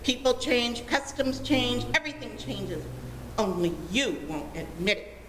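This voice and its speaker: An actress playing a dreamy, melodramatic teenage daughter in love, in an old-time radio comedy. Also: female voice, a little high, animated, unhurried, a bit bright. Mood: indignant, wounded. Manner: gushing, earnest, dramatic. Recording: reverberant hall, faint noise.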